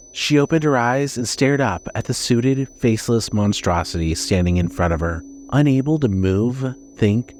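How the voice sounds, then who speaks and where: A man's voice: mid-range voice, a little mellow. A man narrating a scripted horror story with dramatic storytelling delivery.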